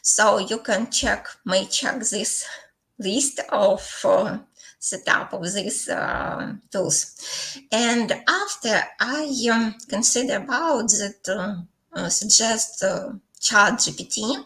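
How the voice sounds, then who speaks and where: A woman's voice: medium pitch, takes it slowly, a bit bright, low-quality recording. A woman with a Ukrainian accent presenting a teaching webinar, hesitant, with many fillers and non-native phrasing.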